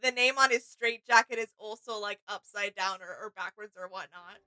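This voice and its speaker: A woman's voice: mid-range voice, brisk, pushed and bright, clear, resonant tone, rough recording. A woman chatting casually as co-host of a reaction video.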